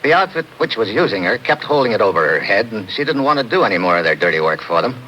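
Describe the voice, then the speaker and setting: An actor playing a tough, slangy American investigator who narrates in clipped 1950s radio adventure style. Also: male voice, lowish voice, sing-song, quick pace, bright, forceful tone. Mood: brisk, determined. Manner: clipped, hard-boiled. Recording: noisy old 1950s radio recording.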